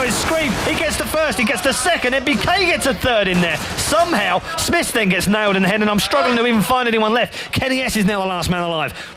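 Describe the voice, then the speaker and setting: A man's voice: high-pitched, background noise. Stat-loving man casting esports. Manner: stat-focused, builds to excited calls. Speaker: esports commentator. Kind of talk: live play-by-play commentary.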